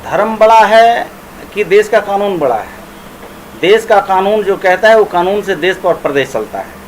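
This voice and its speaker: A male politician makes a statement to the press in a high voice. There is faint ambient noise behind him. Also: low-quality recording.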